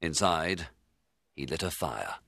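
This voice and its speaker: A man playing a horrified, remorseful scientist telling his tale in a dramatised audiobook, with intense, expressive delivery. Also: extremely low pitch, slow, vocal fry, a little hiss or hum, heavily acted. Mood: subdued, curious. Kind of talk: dramatised literary audiobook narration.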